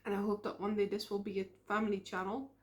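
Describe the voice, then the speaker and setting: A young woman with a Dutch accent, talking to camera in a casual vlog, earnest and reflective, often pausing on fillers. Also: medium pitch, little pitch movement. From Netherlands. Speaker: small YouTube creator.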